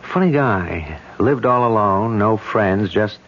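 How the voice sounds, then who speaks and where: Confident detective husband, muffled vintage radio. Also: male voice, lowish voice, expressive, slow pace, a little hiss or hum. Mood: businesslike, wry. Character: confident, affectionate police detective husband, wry. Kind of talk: 1970s radio drama acting. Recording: dull vintage radio broadcast.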